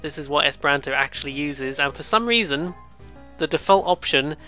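A let's player giving casual, rambling game commentary with excitable, jokey asides and some reading of on-screen text. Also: mid-range voice, a little fast, soft background music.

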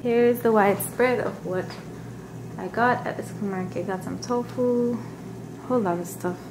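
A woman chatting casually as a vlogger, with an upbeat, expressive manner. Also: medium pitch, moderate pace, background noise. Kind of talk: casual vlog chat.

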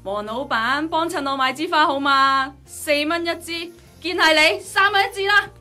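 A woman's voice: high voice, moderate pace, pushed and bright. A woman with a Hong Kong Cantonese accent playing a cheeky, cheerful young flower seller in a radio drama. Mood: coaxing. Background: music.

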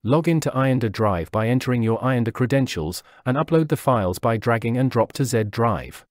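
A man narrating a scripted software tutorial, walking through each step in a steady, instructional way. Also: mid-range voice, brisk, dry recording, clean studio sound. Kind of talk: scripted software walkthrough voice-over. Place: voice-over over screen recording.

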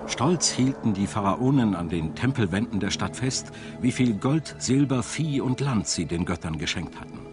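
Lower-pitched man narrating a documentary, lively intonation. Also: moderate pace, few pauses. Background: music.

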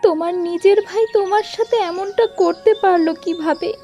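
A very high-pitched cartoon mother played by a woman, poor, worried and loyal to her family. Music plays faintly under her voice. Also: normal speed, mellow. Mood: consoling. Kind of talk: cartoon fairy tale voice acting.

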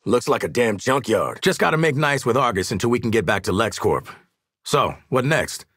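An American man voicing a cocky, gruff, sardonic assassin in a video game, with streetwise slang and dry wisecracks. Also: mid-range voice, animated, normal speed, fairly bright tone, no echo. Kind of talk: isolated game combat barks and banter.

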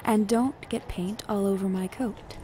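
An actress playing a possessive, jealous supernatural wife who teases and commands with menace in a retro radio drama. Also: female voice, medium pitch, unhurried, warm tone, slight background noise, natural voice. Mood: possessive, threatening.

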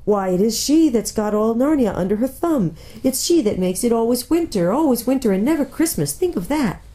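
A woman voicing a gentle, guilt-ridden male fawn in a children's storybook, woeful and full of self-reproach. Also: on the high side, animated, brisk, voice pushed up, natural voice. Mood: guilty, woeful. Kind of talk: dramatised children's storybook reading.

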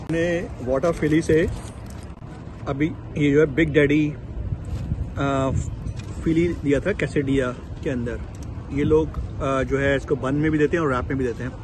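Man in his thirties, relaxed food vlog, handheld phone, outdoor truck park, traffic noise behind. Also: on the high side, measured pace. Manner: relaxed, savoring, mixes Hindi and English. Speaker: food vlogger. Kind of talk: casual food review vlog. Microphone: handheld phone camera. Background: outdoor traffic and street ambience.

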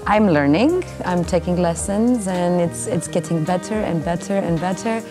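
A woman's voice: fairly low pitch, moderate pace, music in the background. A woman in her thirties from Armenia with an Armenian accent, talking about her life as a musician in an interview. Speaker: cellist and vocalist. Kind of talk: interview testimonial. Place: indoor backstage area, seated.

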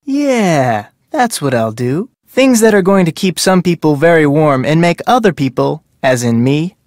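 A man's voice: fairly high pitch, very animated, unhurried, darkish tone, no room sound, clean studio sound. A man voicing a chatty, salesmanlike whale-watch operator with a sly side in a video game, with a breezy, glib way of talking. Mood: sly, menacing. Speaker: game voice actor. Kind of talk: video game character dialogue.

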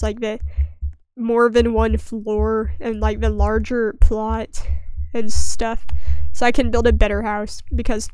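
A woman's voice: a little high, smooth, no echo, poor audio. A young woman getting over a sniffly cold, giving rambling, self-deprecating gameplay commentary with dry humour in a casual way. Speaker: gaming video creator.

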